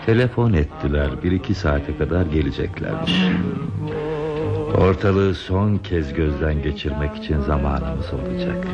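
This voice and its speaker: A very deep, slow-speaking old railwayman in a radio drama. He is gentle, nostalgic and reflective, heard on a dull recording with music underneath. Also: vocal fry, full character voice. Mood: matter-of-fact, warm. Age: older. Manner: measured, reflective delivery. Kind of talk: Turkish radio theatre acting.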